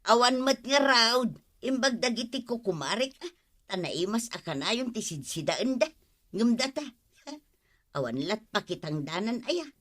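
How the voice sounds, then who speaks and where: An actress playing a long-suffering, grumbling village housewife with an Ilocano accent in a radio comedy drama. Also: female voice, fairly high pitch, big pitch swings, unhurried, a bit bright, heavily acted. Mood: grumbling, resigned.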